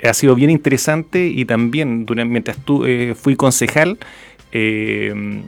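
A middle-aged man from southern Chile with a Chilean accent speaks as a guest in a radio interview. His voice is medium in pitch with plenty of creak, and his delivery is conversational and hesitant. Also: soft background music. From Puerto Varas, southern Chile. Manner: hesitant, halting. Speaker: interview guest, regional government official.

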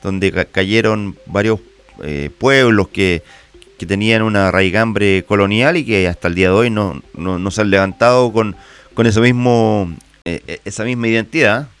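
A Chilean man hosting a radio interview. His voice is fairly low and resonant. He asks long, thoughtful questions and often restarts a word. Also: moderate pace, smooth, faint music behind. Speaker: radio host.

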